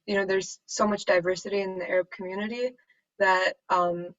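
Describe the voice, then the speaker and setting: A young woman student speaking hesitantly in a panel discussion, with many fillers. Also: fairly bright tone. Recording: muffled low-fidelity recording.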